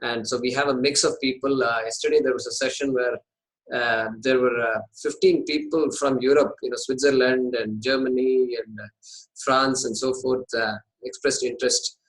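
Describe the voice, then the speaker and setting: A man speaks over a video call as host of an online class. He talks haltingly, with frequent fillers and restarts. His voice sits at an ordinary middle pitch. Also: fairly even intonation, a bit bright, poor audio. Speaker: course organizer and host. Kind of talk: casual video call talk. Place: home in India.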